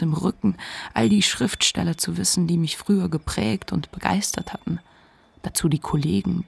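An actor playing a reflective, ashamed narrator confiding a memoir in a measured literary radio monologue. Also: medium pitch, creaky, husky, heavily acted.

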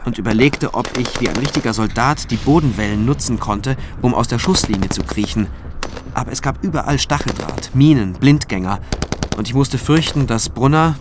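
An actor playing a shaken military prosecutor who recounts an ordeal in a war zone, in a radio drama with music or battle noise behind him. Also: male voice, medium pitch, lightly acted. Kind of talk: German radio drama, first-person narration and dialogue.

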